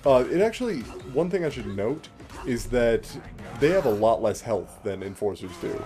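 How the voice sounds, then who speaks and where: A man with a mellow, soft-edged voice hosts a casual let's play. He explains what he is doing with a dry, wry touch, while music plays faintly behind him. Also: medium pitch, expressive, normal speed. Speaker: let's play host playing the game. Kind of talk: casual game commentary.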